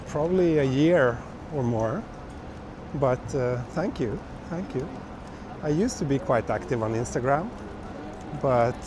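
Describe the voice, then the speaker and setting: A man with a hoarse voice from a cold, hosting a relaxed live walking stream and chatting with viewers through a clip-on mic. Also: on the high side. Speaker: livestream host. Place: busy city streets outdoors at night. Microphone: small wireless clip-on mic. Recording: outdoor street noise. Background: city traffic and street noise.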